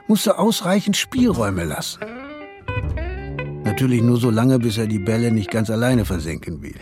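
An actor playing a self-satisfied police boss who lectures jovially like a team coach in a German radio drama. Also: male voice, fairly low pitch.

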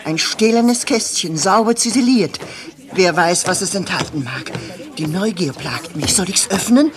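An actress playing an anxious but outspoken loyal maidservant in 1950s German radio drama, with dramatic, urgent delivery. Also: female voice, fairly low pitch, lively intonation, a little slow, crowd noise. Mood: curious, wary. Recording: 1950s radio studio recording.

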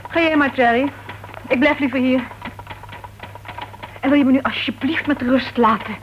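An actress playing a cool, stubborn club secretary and crime playwright in a Dutch radio play, terse and disapproving. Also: female voice, high-pitched, moderate pace, natural voice. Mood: curt. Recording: dull old radio recording, faint noise.

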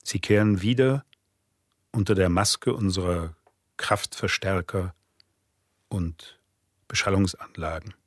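Low-voiced man reciting in a radio play. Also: fairly even intonation, takes it slowly, pauses often, dry recording, clean studio sound. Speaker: narrator reading literary texts. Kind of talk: scripted literary narration in a radio play.

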